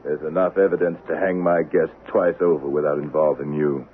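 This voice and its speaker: Deep, taunting Western avenger. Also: male voice, steady tone. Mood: triumphant, contemptuous. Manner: measured, taunting, sardonic. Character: cool, vengeful Old West man, coldly triumphant. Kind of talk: 1970s radio drama acting. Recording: tinny, noisy vintage radio broadcast.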